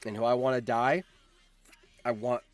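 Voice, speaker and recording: A man's voice: medium pitch, flat delivery, normal speed, no echo. A man hosting a livestream, chatting casually with viewers and thinking aloud, often repeating his phrases. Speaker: livestream host.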